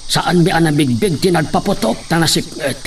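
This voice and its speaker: A man's voice: a little high, moderate pace, natural voice. A man with an Ilocano accent acting as a sorrowful, earnest villager in a radio drama. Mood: earnest, aggrieved. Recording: faint noise, cricket and insect ambience.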